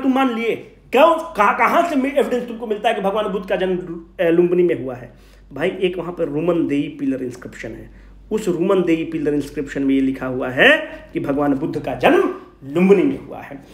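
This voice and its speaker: A high-pitched man teaches in an echoing room. His intonation swings widely as he puts questions to his students and then answers them himself. The style is an informal, familiar coaching lecture. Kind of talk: informal exam revision lecture, asking and answering own questions. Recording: roomy, echoing sound.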